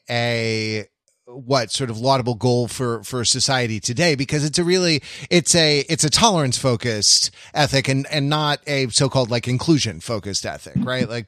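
A man's voice: mid-range voice, a bit bright, dry recording, clean studio sound. A man co-hosting a discussion podcast, thinking aloud analytically and often repeating and rephrasing as he searches for words. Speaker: podcast host. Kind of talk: analytical discussion of classic television.

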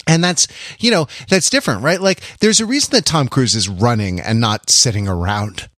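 Halting, thoughtful man on a dry mic. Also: medium pitch, lively intonation, a bit bright, dry recording. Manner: halting, self-correcting, repeats phrases. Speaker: podcast co-host. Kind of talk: casual analytical pop-culture discussion.

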